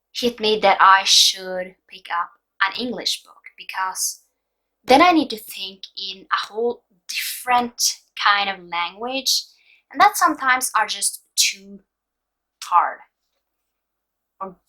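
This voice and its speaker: A young Norwegian woman in her twenties talks slowly and loosely, full of hesitations and fillers. Her voice is bright and clear, with a Norwegian accent. English is not her first language. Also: mid-range voice, low-quality recording. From Norway. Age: 20s. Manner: relaxed, hesitant, many fillers. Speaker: book vlogger. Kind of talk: casual advice vlog.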